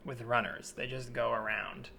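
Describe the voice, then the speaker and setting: A man's voice: mid-range voice, steady tone, normal speed, vocal fry, pushed and bright, slight background noise, poor audio. An American man co-hosting a comedy podcast, chatting casually and riffing as he reads out short posts. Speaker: podcast co-host and improviser. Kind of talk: casual chat, reading and riffing on classified posts. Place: remote podcast recording.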